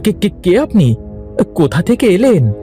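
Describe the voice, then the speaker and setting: A man voicing an earnest, determined young village woodcutter in a cartoon, excitable and repeating his words. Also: high voice, a little slow, faint music behind. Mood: tense, determined. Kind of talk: cartoon voice acting.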